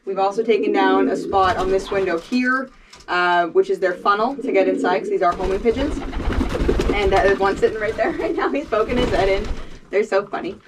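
A woman's voice: medium pitch, fairly quick, noisy background. A woman in her thirties from Canada, explaining things casually to camera as a homesteader. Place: farm coop and yard.

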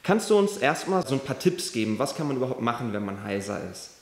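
A man interviewing off camera, asking calm, brief questions and summing up the answers. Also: mid-range voice, quick pace. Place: large hall. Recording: echoey hall.

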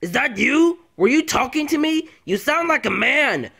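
A man voicing a hot-tempered young male cartoon echidna athlete, loud and exasperated. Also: very high-pitched, animated, extremely slow, vocal fry, slightly rough, full character voice. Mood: startled, irritated.

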